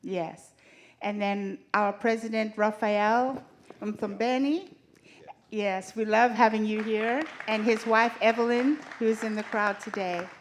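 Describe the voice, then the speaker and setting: A woman's voice: medium pitch, fairly even intonation, measured pace, a little hiss or hum. Woman from Zimbabwe hosting a seminar. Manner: warm, organized, introduces speakers and lays out the agenda. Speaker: presenter of a church seminar. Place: church hall.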